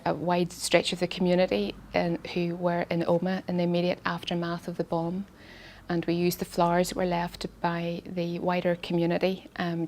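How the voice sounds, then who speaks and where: A woman, an artist giving a public talk in a quiet, soft voice, hesitant with many ums and restarts, and she has a cold. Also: fairly low pitch, slight background noise. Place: garden event space with sound system.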